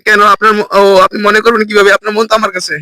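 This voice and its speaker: Fast high-voiced Bangladeshi man, prank caller. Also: flat delivery, sharp tone, no room sound. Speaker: prank call host. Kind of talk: casual prank-call banter.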